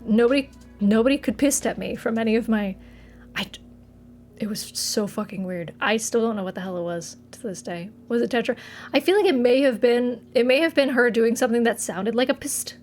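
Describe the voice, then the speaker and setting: A woman's voice: a little high, fairly quick, a little mellow, soft background music. A young woman from Massachusetts, chatting casually with her live chat from her apartment, giggling and trailing off mid-sentence. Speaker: streamer.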